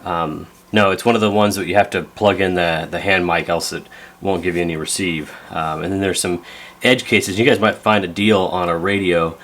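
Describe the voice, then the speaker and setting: A man's voice: deep voice, steady tone, moderate pace, a bit bright. A man talking casually through a hands-on repair video in a rambling, folksy, laid-back way. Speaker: host of a radio repair video.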